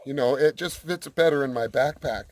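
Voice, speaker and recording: A man's voice: mid-range voice, normal speed, darkish tone. A man making a casual prank phone call, speaking with feigned earnestness as a clueless customer. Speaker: prank caller.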